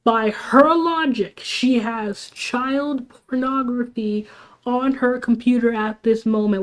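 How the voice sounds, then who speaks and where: A young woman, sick and sniffling, ranting on a casual livestream with heated, rambling talk full of restarts and fillers. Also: fairly high pitch. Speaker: streamer.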